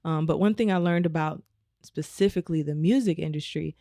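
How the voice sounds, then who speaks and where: A woman from Omaha with a naturally raspy voice, talking casually as a guest in a podcast interview with a laid-back flow. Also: low-pitched, brisk, mellow, no echo, studio quality. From Omaha, United States. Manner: laid-back, frequent "you know" and laughs. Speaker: guest musician.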